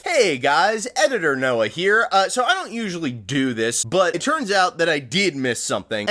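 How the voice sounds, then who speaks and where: A young man with a slightly high voice retells lore in a casual, joking way. His intonation swings widely and expressively. He is slangy, sweary and irreverent, briefly acting out quotes as he narrates. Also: sharp tone. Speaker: video essayist retelling toy-franchise lore. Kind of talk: casual, comedic storytelling commentary.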